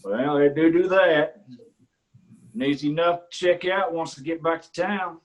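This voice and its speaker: An actor reading the part of a prying county sheriff in a staged play reading, drawling and falsely friendly. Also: male voice, a little high, fairly even intonation, normal speed, lightly acted. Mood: smug. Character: rural county sheriff, middle-aged man, prying and smug.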